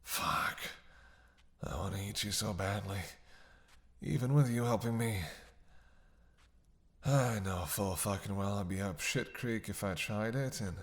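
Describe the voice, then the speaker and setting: Slow, low-voiced sardonic vampire man, acted out. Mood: hungry, yearning. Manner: sardonic, halting, breathy sighs between phrases. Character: starving captive male vampire, sardonic, teasing, menacing. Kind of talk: roleplay audio drama monologue.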